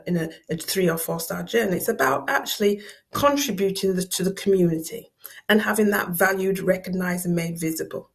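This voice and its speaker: A middle-aged woman with a Yorkshire accent delivers an academic lecture. Her voice sits fairly low, with a gravelly edge and a husky texture. She often doubles back on words as she reaches for the next phrase. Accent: Yorkshire English. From Yorkshire, England. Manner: repeats words while searching for the next phrase. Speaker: professor giving a guest lecture.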